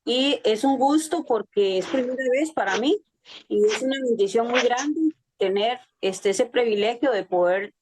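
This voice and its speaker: A woman from Costa Rica speaking over a video call, her voice somewhat high. She pauses and fills gaps with "este" as she introduces herself, and faint noise sits behind her. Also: a little mellow, poor audio. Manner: hesitant, uses "este" as a filler. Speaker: teacher at a house church.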